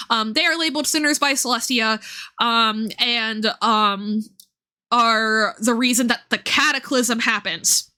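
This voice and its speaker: A woman's voice: a little high, bright, forceful tone. A woman livestreamer giving casual, enthusiastic commentary on game lore, informal and prone to swearing.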